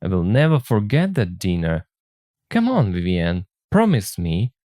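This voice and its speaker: A man's voice: low-pitched, sing-song, measured pace, mellow, no room sound, clean studio sound, voice pushed down. Masterful, earnest suitor read aloud by a man. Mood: pleading. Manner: earnest, commanding. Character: masterful, insistent suitor pleading for marriage. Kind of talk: story read aloud for learners.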